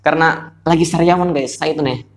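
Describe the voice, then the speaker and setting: A young man hosts a casual podcast call from a small room. His voice sits a little high and is slightly hoarse, and he talks in a relaxed, chatty vlogger way. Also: quick pace. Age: young adult. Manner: laid-back, chatty. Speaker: YouTuber hosting a podcast call. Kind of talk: casual vlog-style phone interview.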